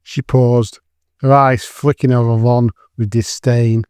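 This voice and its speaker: A man's voice: mid-range voice, flat delivery, takes it slowly, darkish tone, clean tone, no room sound, studio quality. A man reading scripted dramatic narration as the narrator of an audio drama.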